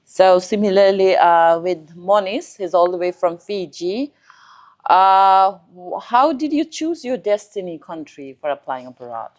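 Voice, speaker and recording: A woman's voice: mid-range voice, lively intonation, unhurried, a little mellow. A woman hosting an interview, asking prepared questions in a chatty, encouraging and affirming way. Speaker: host interviewer. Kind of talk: scripted questions with casual chat.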